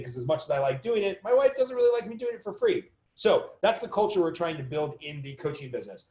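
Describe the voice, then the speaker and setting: A man's voice: medium pitch, darkish tone. A man leading an informal motivational coaching call for an online audience, conversational and emphatic, repeating key phrases for stress. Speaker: business coach hosting a mortgage coaching call. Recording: roomy, echoing sound.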